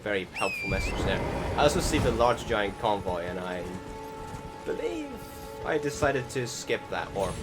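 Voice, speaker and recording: A man narrates his own gameplay in a casual, rambling and self-deprecating style. His voice sits fairly low but moves around a lot in pitch. Music plays clearly behind him. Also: huge pitch swings, moderate pace. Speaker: gaming video commentator.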